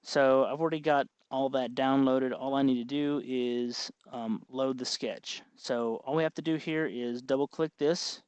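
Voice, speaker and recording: A man hosting an informal hands-on electronics tutorial, explaining step by step in a halting, folksy way. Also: medium pitch, steady tone, darkish tone, smooth, no echo. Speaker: tutorial host.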